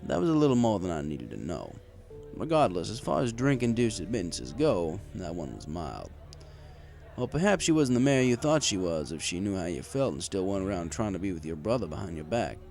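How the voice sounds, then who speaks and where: A man's voice: deep voice, very animated, fairly quick, vocal fry, a little mellow, soft background music. A man reading a scripted first-person story in the manner of an audiobook narrator. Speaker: story reader.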